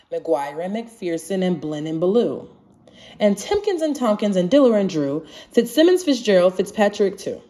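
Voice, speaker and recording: Animated woman reading a rhyming storybook. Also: on the low side. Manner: expressive, singsong rhyming delivery. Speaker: storybook reader. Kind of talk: children's picture book read-aloud in rhyme.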